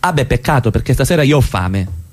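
A man's voice: mid-range voice, animated, a little fast, a little mellow, a little hiss or hum, natural voice. A man in a radio play acting a proud, jealous middle-class husband, formal and sarcastic in his speech. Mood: dry sarcasm. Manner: formal, pompous wording with biting sarcasm.

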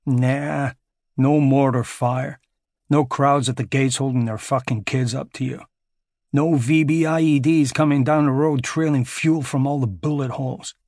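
A man's voice: mid-range voice, steady tone, a little slow, a little mellow, no room sound, clean studio sound. A man voicing a burly, crude American ex-marine security contractor with an American accent. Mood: brash. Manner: crude, swaggering, profane.

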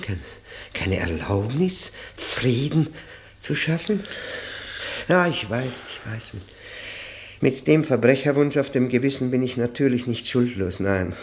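An actor playing a tormented, bitter man confessing his ruined marriage in a brooding, halting monologue, in the style of 1960 radio drama. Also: mid-range voice. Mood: anguished, desperate.

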